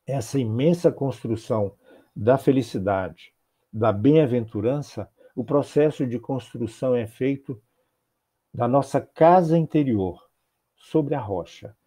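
Older Brazilian man giving a measured sermon. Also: mid-range voice, mellow, breathy edge. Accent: Brazilian Portuguese. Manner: measured, rhythmic phrasing with repeated clauses. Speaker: spiritist lecturer. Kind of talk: religious talk. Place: home office with bookshelves. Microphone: earphones with mic on a video call.

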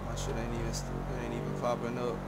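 A man's voice: mid-range voice, wildly swooping pitch, brisk, creaky. A man chatting casually and profanely in heavy slang as he streams a game. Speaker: gaming streamer. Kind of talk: casual gaming chat. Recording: noisy game audio with engine sounds. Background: game car noise.

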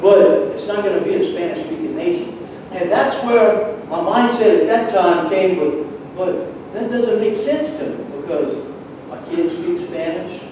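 A man giving a personal testimony in church in a conversational, halting storytelling way, acting out bits of dialogue. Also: sits high. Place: large church sanctuary, indoors. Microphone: far camera at back of the room. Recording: echoey church hall, distant mic, muffled, noisy.

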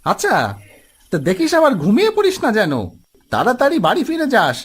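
A man's voice: extremely high pitch, huge pitch swings, normal speed, a bit bright, breathy edge, voice pushed up, exaggerated voice. A man voicing an old village grandfather in a cartoon story, avuncular and cautioning. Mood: friendly, cautioning.

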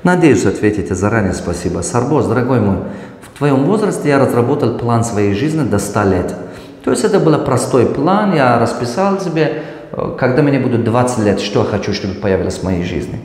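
A man's voice: mid-range voice, a little fast. A man in his forties with a Tajik accent, a business mentor answering viewer questions on raising children, acting out conversations with his kids. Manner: animated, gestures broadly, acts out dialogue with his children. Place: office with glass partitions. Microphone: lapel mic. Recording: echoey room with long reverb.

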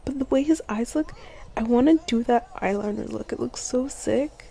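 A young woman doing girlfriend ASMR roleplay in a soft, sleepy voice that often drops to a whisper, murmuring affectionately and drowsily. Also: fairly high pitch, normal speed, gravelly, a little hiss or hum. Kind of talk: ASMR girlfriend roleplay, casual intimate chat.

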